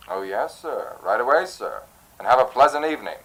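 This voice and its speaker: A man's voice: mid-range voice, lively intonation, brisk, fairly bright tone, low-quality recording. A man playing a tired, sarcastic police switchboard officer in a noir radio drama, with a weary, droning manner. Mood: bored sarcasm. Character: tired, monotone, sarcastic male police desk operator.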